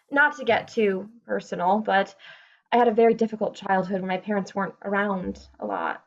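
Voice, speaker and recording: An earnest, self-important woman playwright played by an actress with a fairly high voice. The acting is naturalistic stage drama, heard through slightly muffled call audio. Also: lightly acted. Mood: earnest, sentimental.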